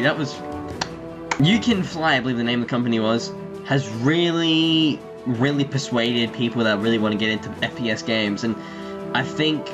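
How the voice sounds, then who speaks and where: A young man rambles excitably through a playthrough at a home desk, giggling between thoughts. His voice sits at a middling pitch. Game music runs clearly behind him. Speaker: gaming YouTuber. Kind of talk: let's play commentary. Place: home room.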